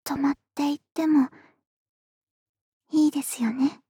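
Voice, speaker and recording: A woman playing an affectionate, polite young neighbor in an ASMR voice drama, speaking in a soft, intimate, gentle murmur close to the mic. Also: high voice, fairly even intonation, a little slow, dry recording. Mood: shy, tender, intimate. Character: affectionate, polite young adult woman next door, tipsy and doting. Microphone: close dry studio microphone.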